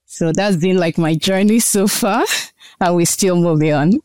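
Earnest woman researcher, smooth voice. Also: fairly low pitch, measured pace, dark tone, no room sound. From Nigeria. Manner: earnest, explanatory, thoughtful. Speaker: guest researcher. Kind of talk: interview answers.

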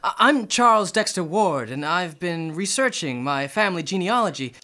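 A man's voice: high-pitched, lively intonation, moderate pace, bright, forceful tone. A man acting as an eager, polite young researcher in a radio drama, hesitant and stammering as he goes. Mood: nervous, polite. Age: young adult. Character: eager, polite young male amateur genealogist, obsessed with his ancestor. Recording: music behind the voice.

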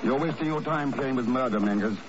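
An actor playing a suave, dry, unflappable secret agent with a clipped manner in a 1950s radio spy drama. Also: male voice, mid-range voice, a little fast, lightly acted. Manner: wry, clipped. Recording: noisy, dull old radio recording.

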